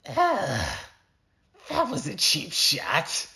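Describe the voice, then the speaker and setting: A man voicing a taunting, dastardly masked assassin from a short story, with drawn-out, mocking delivery in an exaggerated voice. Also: a little high, wildly swooping pitch, pushed and bright, pitched above the performer's own voice, exaggerated voice.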